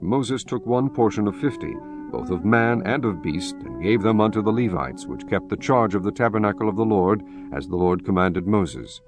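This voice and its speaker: Man reading bible narration, solemn n measured, lowish voice, music playing under. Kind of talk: solemn scripted scripture narration. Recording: music behind the voice.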